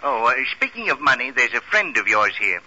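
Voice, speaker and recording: Blustery, animated old radio boozer. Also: male voice, mid-range voice, brisk, heavily acted. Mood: wheedling, jovial. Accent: American. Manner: florid, mock-indignant, rambling. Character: boozy, blustery old ex-doctor sidekick. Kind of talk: 1940s radio comic character acting. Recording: dull old radio recording.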